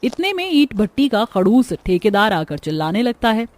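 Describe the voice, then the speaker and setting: Mellow woman narrating a cartoon story. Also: mid-range voice, big pitch swings, moderate pace. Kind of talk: scripted dramatic storytelling for a cartoon. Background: background music.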